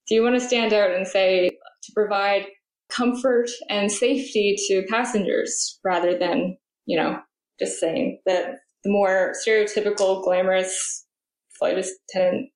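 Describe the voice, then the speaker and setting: Young woman guest, relaxed chatty answers with fillers, mid-pitched, echoey room. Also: measured pace. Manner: chatty, many ums and uhs. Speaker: guest, flight attendant. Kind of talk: casual interview answers. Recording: roomy echo.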